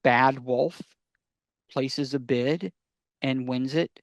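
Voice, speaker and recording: A man's voice: mid-range voice, fairly even intonation, drawn-out words, no room sound. An American man co-hosting a podcast, explaining things emphatically and repeating phrases for stress. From United States. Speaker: podcast co-host.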